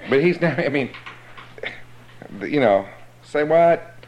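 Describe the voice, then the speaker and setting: A man teaching a Bible class in a sermon style, explaining slowly and checking that his listeners understand. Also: on the high side, lively intonation, a little mellow, a little hiss or hum, rough recording.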